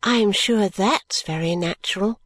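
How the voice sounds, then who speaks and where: A woman's voice: mid-range voice, a little slow, dry recording. Woman voicing a trusting, affectionate young woman. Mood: delighted. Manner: gentle, eager, confiding. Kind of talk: audiobook character dialogue.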